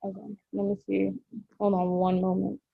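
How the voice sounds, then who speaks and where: A woman's voice: mid-range voice, level tone, normal speed, gravelly, slightly rough, narrow, tinny audio. A woman reading stage directions and scripted narration for a table read held on a video call. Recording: noisy online call audio.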